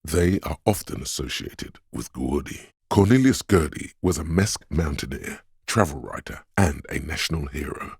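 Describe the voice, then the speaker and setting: An actor voicing an erudite, worldly inner voice of knowledge in a video game, narrating facts in a measured, dry, scholarly way. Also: male voice, a bass voice, husky, no echo, heavily acted.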